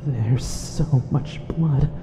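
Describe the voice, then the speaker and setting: Young man's weary ghost, acted by a man: slow, haunted, very mellow, drenched in dull reverb. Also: medium pitch, soft background music. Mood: exhausted, pleading. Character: exhausted, bloodied dead young man haunting his friend. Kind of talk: audio drama acting. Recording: muffled echoing hall, noisy ambience.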